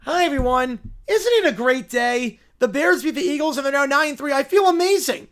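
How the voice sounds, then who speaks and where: A man's voice: extremely high pitch, animated, a little fast, fairly bright tone, pitched above the performer's own voice. A man voicing a pleasant little brown bear who is relentlessly upbeat and positive. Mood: delighted.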